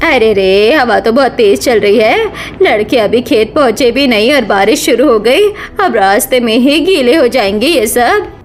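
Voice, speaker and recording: A woman's voice: fairly high pitch, animated, a little slow, few pauses, a little hiss or hum. A woman voicing an older village mother-in-law, blunt and grumbling, in a cartoon story. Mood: worried. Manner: blunt, scolding.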